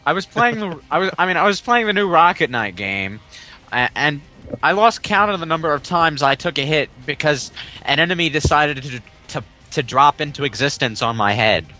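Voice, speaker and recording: A man with a forceful, bright, fairly high voice gives casual game commentary. He gripes sarcastically and swears among friends, with game music faintly behind him. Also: animated, moderate pace. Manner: sarcastic griping, profane. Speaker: gaming commentator playing the game. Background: video game music.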